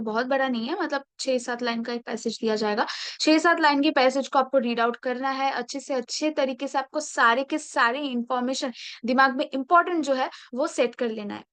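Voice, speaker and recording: A woman with a high, light voice talks students through a placement test like a patient tutor. She moves between Hindi and English and keeps checking in with "okay?". The sound is dry and close to the mic. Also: a bit bright, no echo. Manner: mixes English phrases into Hindi, repeats "okay?" and "ठीक है?". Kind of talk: casual explanatory tutorial.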